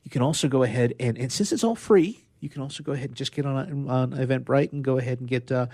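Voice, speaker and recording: A man's voice: mid-range voice, fast talker, a little mellow, dry recording, clean studio sound. A man co-hosting a radio show, enthusiastically promoting things in casual, unscripted, repetitive phrasing. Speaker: radio co-host.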